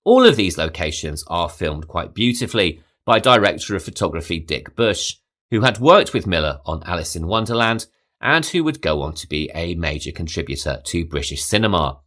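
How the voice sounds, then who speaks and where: A very low-voiced man delivers a scripted film review, his delivery lively and rising and falling in pitch. He sounds measured and articulate, with a light touch of opinion. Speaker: podcast host.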